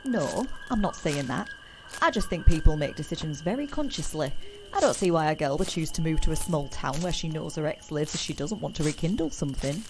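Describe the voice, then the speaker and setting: A woman's voice: low-pitched, wildly swooping pitch, fairly quick, noisy background, heavily acted. An actress playing a frank, sociable, teasing British small-town woman in middle age, in an audio drama. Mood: probing. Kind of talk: naturalistic audio drama acting.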